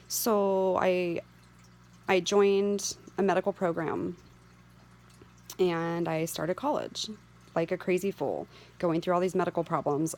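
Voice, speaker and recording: A middle-aged woman speaks in a hoarse voice that sits a little low. She talks candidly and earnestly to the camera, like a patient advocate sharing personal testimony. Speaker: patient advocate sharing her medical story.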